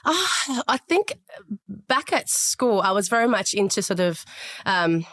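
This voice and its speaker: A woman from Chile raised in Australia, a podcast guest talking through her career in a thoughtful, measured way with frequent fillers. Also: mid-range voice, big pitch swings, fairly bright tone. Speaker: podcast guest, sales director. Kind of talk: conversational interview.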